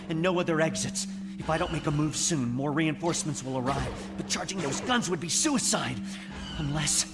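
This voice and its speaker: An actor in an audio drama playing a brooding rebel agent who talks himself through a dangerous mission. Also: male voice, medium pitch, close to an everyday voice. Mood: strained, then focused. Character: brooding young rebel agent, narrating his own mission. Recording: long reverb, loud music behind.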